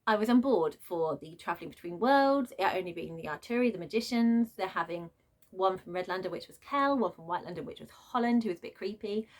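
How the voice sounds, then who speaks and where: A woman vlogger talking off the cuff in an emphatic, expressive, informal way, like a book reviewer ranting to viewers. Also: medium pitch, brisk. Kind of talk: unscripted opinionated rant to viewers.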